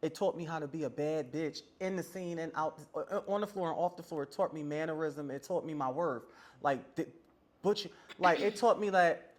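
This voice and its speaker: A woman's voice: deep voice, moderate pace, darkish tone. A woman over forty telling stories casually and emphatically as a podcast guest, with sassy, slangy phrasing. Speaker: podcast guest, ballroom scene veteran. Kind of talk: casual conversational storytelling.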